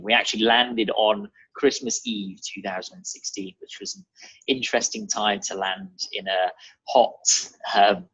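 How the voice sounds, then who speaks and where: Brief: young British male podcast guest, low husky voice, conversational, dull video-call sound. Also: fairly bright tone, no room sound. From England and Germany. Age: young adult. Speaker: podcast guest, real estate advisor. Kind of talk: casual conversational interview. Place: bright room at home, indoors.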